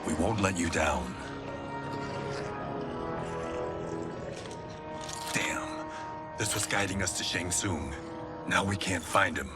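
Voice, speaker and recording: A man's voice: deep voice, unhurried. A man playing an earnest, polite heroic warrior in a video game, speaking in a diplomatic way. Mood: resolute. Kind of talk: video game cutscene acting. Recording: loud music under the voice. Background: loud music.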